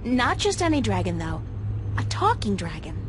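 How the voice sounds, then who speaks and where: A woman's voice: mid-range voice, big pitch swings, normal speed, noisy background, lightly acted. An actress playing a wry, thoughtful young female art student in a video game, talking in a conversational way. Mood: earnest, defensive. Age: young adult.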